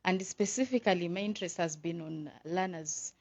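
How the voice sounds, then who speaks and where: Earnest woman from Uganda, low voice. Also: normal speed, a little mellow. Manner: enthusiastic and earnest. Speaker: visiting English teacher. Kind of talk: radio interview guest.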